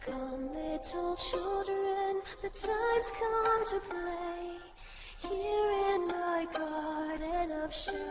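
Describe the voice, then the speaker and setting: A woman singing a slow lullaby. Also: extremely high pitch. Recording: echoing song with loud music. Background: loud musical accompaniment.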